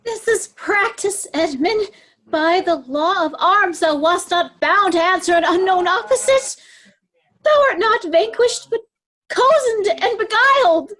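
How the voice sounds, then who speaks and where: Woman doing evil haughty shakespeare queen, super high pitched, slow, imperious and defiant. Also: expressive, fairly bright tone, exaggerated voice, poor audio. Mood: indignant. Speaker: amateur actor in a reader's theatre. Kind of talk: Shakespearean stage reading.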